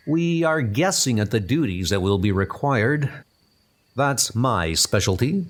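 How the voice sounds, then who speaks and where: A formal secret service chief from a spy comic, acted out by a man. He talks slowly and courteously, with animated emphasis. He sounds official and guarded. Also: mid-range voice. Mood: brisk, courteous. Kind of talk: comic dub spy drama acting.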